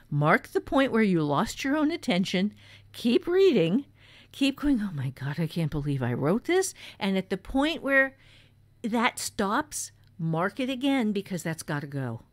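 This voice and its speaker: A woman with a mid-pitched voice talks conversationally as a podcast co-host and writing teacher. She gives her advice bluntly and with emphasis. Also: very animated, unhurried.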